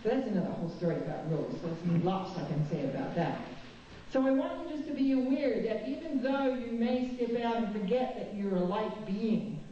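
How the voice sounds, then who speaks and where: A woman from New Zealand gives a spiritual talk as a guest speaker in a chapel, telling candid stories with a self-mocking streak. Her voice is mellow. It is picked up from far off in a very echoey hall, with a dull, muffled sound. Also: fairly high pitch, normal speed, slight background noise. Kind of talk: spiritual talk with personal anecdotes.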